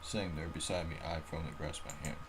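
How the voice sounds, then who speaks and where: A man's voice: rumbling low, fairly even intonation, a little fast. A man reading visual novel narration and dialogue aloud, sometimes stumbling over the written words. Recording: clear background noise, clicking.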